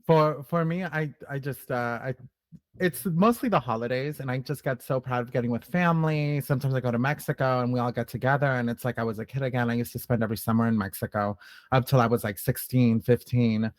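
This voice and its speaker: A man's voice: mid-range voice, steady tone, fairly quick, no room sound. A man chatting casually as a guest on a panel.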